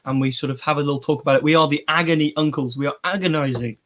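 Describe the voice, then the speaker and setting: A young man with a slightly raised voice hosts a casual livestream. He introduces segments like a mock TV presenter, playful and lively, then settles into easy chat. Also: on the high side, very fast, slight background noise. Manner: playful, presenter-like announcing with bursts of energy. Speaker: co-host.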